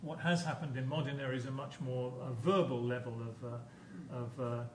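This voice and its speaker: Male professor, hesitant lecture delivery with repeated words, mid-pitched, in an echoey room. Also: moderate pace. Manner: hesitant, repeats words and phrases while explaining. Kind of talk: academic conference lecture. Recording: echoey room, distant mic, background noise.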